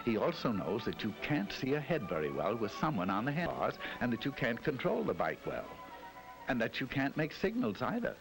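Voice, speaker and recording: A man's voice: mid-range voice, wildly swooping pitch, brisk, poor audio. A man giving scripted 1960s educational film narration in a wry, mock-solemn storytelling manner. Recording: narration over loud music.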